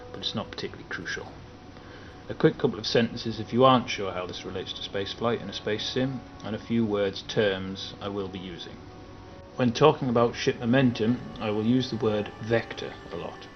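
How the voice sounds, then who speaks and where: A man with a northern English accent that slips into southern English at times, narrating a partly scripted game tutorial in a measured, explanatory way with many careful qualifications. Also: lowish voice, muffled recording. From England. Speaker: video game guide maker.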